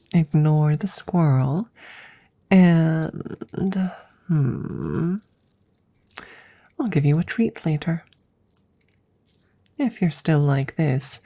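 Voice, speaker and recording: A witch, played by a woman, speaks softly and intimately to her familiar in an ASMR audio roleplay. Her voice is low and breathy with a creaky edge. She is wry, affectionate and gently teasing, often dropping toward a whisper. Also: exaggerated voice. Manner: unhurried, gently teasing, with soft chuckles.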